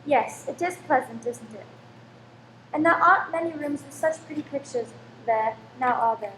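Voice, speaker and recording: A woman's voice: high-pitched, moderate pace, a bit bright. An actress in a radio play playing a deferential married landlady who is polite, eager and anxious to please. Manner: servile, polite, flustered when rebuked. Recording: roomy echo with faint background noise.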